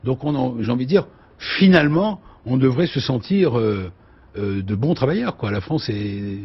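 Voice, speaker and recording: A man hosting a business TV panel, reading out survey figures with quick asides to his guests. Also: mid-range voice, breathy edge, dull audio. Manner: lively interviewer, reads out figures, quick asides. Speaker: TV show host. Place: TV studio.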